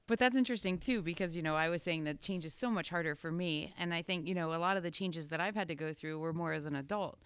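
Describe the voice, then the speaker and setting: Smooth-voiced woman coach on a podcast. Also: fairly low pitch, quick pace, hardly any pauses, a bit bright, no room sound. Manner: supportive, thoughtful, reassuring. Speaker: podcast co-host, youth dance coach. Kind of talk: casual podcast conversation.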